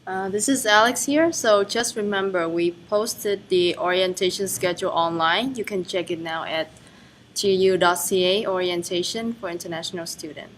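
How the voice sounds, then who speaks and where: A woman's voice: lowish voice, normal speed, slight background noise. A staff member, a woman, cutting in with a brief practical reminder. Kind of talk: brief announcement.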